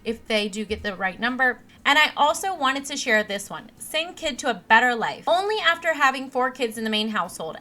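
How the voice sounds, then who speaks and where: A young woman with a naturally monotone voice, casually narrating her gameplay with plenty of ums and restarts. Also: on the high side. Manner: rambling, with many ums and restarts. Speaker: let's play host. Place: home computer setup. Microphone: desk mic replacing a broken headset.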